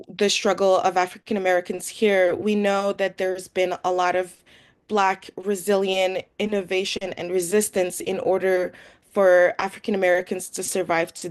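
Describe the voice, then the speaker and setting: A young woman from Morocco giving an earnest, loosely worded guest talk on a video call, with many fillers. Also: mid-range voice. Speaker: guest speaker. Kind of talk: webinar talk. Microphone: computer mic on a video call.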